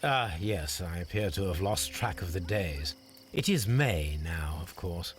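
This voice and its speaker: An actor playing a shrewd, composed Victorian gentleman detective with an English accent, formal and measured, in a video game drama. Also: sits low, animated, a little hiss or hum. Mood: inquiring, courteous.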